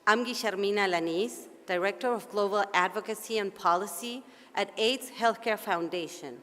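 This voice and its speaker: A woman with an Argentine Spanish accent moderates a conference session, speaking formal English at a measured, deliberate pace. Her voice sits at an ordinary middle pitch. The sound carries the long reverb of a large hall. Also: a bit bright.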